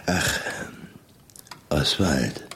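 A man's voice: extremely low pitch, animated, extremely slow, fairly bright tone, slightly rough, heavily acted. A man playing a thoughtful, reserved scientist in a radio drama, speaking in a hesitant, reflective way. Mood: weary, reserved. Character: thoughtful, reserved male scientist and wealthy employer.

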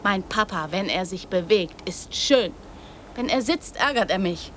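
A woman's voice: mid-range voice, expressive, measured pace, a bit bright, slight background noise. A woman playing a precocious, brazen young girl in a radio drama, speaking in blunt, childlike short sentences. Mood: matter-of-fact, defiant.

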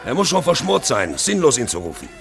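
A gravelly, insistent male voice of conscience in a radio drama. He addresses his man directly and dramatically, with big swings in pitch, taunting and demanding answers. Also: very animated, a little fast, fairly bright tone, faint music behind. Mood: ominous, sardonic. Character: relentless inner voice taunting and questioning a railway guard. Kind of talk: German radio play acting.

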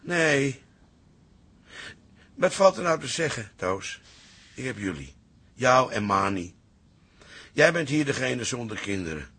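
An actor playing a gruff but tender older father in a radio drama, speaking in halting, colloquial phrases. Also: mid-range voice, big pitch swings, bright, forceful tone, full character voice. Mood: resigned, wistful. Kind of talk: naturalistic radio drama acting.

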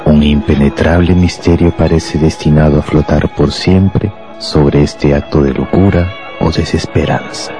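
An actor playing a contemptuous, power-hungry anarchist professor in a radio drama, with a grandiose, deliberate delivery. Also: male voice, rumbling low, fairly even intonation, warm tone. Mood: scornful musing. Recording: music behind the voice.

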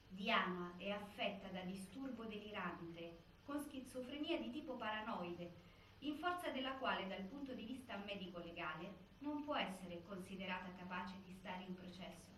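A woman's voice: on the high side, fairly bright tone. A grave woman narrator reads formal dramatic narration in a factual way. She is heard from a distance in an echoey space, with faint noise behind. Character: grave female narrator of a prison case. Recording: echoey stage, far mic, faint noise.

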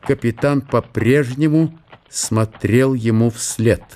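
A man giving a measured, storytelling narration of a literary radio drama. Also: mid-range voice, mellow, a little hiss or hum. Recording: music under the narration. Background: music.

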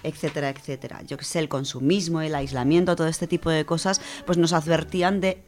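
Low-voiced woman explaining on radio. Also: fairly even intonation, a little fast, darkish tone. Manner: thoughtful, with frequent hesitations and restarts. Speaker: radio host presenting a book segment.

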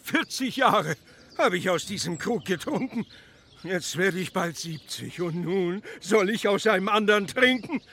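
An actor playing a plaintive, brooding carpenter of nearly seventy in a radio play. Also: male voice, high-pitched, lively intonation, unhurried, pushed and bright, slight background noise, heavily acted. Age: 60s. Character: grumbling grey-haired carpenter, nearly seventy, mourning his beer mug.

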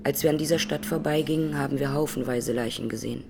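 An actress playing an Armenian woman in her twenties, a massacre survivor giving measured, sober testimony in a radio drama. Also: rumbling low, steady tone, creaky, over music. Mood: grave, sober. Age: 20s.

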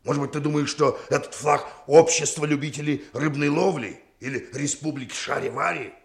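An actor playing a gruff, sarcastic middle-aged lighthouse keeper and scolding father in a 1960s Soviet radio drama. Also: a little high, fairly quick. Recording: noisy old radio recording.

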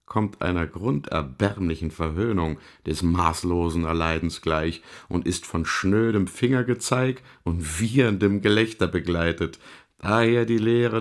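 An actor playing a sardonic, eloquent devil in a literary radio drama, gravelly and theatrical, savouring each word with mockery. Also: male voice, low voice, full character voice. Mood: gloating. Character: sardonic, eloquent devil lecturing on Hell's torments.

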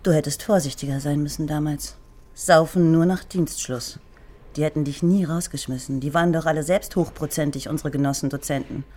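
A woman's voice: low voice, steady tone, brisk, warm tone. A woman playing a teasing, sardonic East German police lieutenant in a radio crime drama, speaking bluntly and with mocking familiarity.

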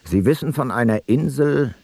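An actor playing an ancient Greek explorer-scholar, reading his logbook in a measured, factual radio-play narration. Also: mid-range voice, expressive, creaky, a little mellow, husky, dry recording. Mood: matter-of-fact.

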